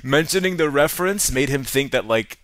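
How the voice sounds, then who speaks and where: A man's voice: mid-range voice, expressive, brisk, a bit bright. A man hosting a prank call channel, commenting casually between calls in an amused, incredulous way. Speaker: prank caller, channel host.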